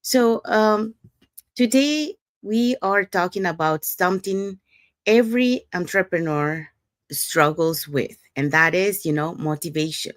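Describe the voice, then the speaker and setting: A woman's voice: fairly low pitch, unhurried, no echo. A woman from Panama co-hosting a promotional talk show, upbeat, often starting with "so". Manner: upbeat, with repeated "so" openers. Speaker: podcast co-host.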